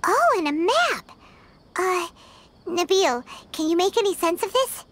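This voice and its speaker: A woman voicing a tiny, eager, chirpy childlike companion in a video game, with a squeaky voice. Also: very animated, measured pace, a bit bright, slight background noise, exaggerated voice. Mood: inquisitive. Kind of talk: video game character dialogue. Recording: music behind voice.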